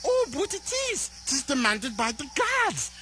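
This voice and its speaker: Storybook creature role: clumsy long-eared alien, childlike broken speech, very animated high voice over clear background noise. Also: male voice, measured pace, heavily acted. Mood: fawning, insistent. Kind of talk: audio storybook film dialogue.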